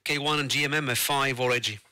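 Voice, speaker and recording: A man with a French accent, a native French speaker, chatting casually as a ham radio operator in halting, hesitant phrases. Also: medium pitch, fairly even intonation, noisy background. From France. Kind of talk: casual radio contact chat.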